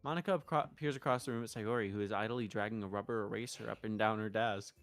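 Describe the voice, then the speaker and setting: A woman's voice: extremely low pitch, fast talker. A woman streaming a game, switching between casual, sweary chat and read-aloud lines, with music behind her. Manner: sweary, expressive.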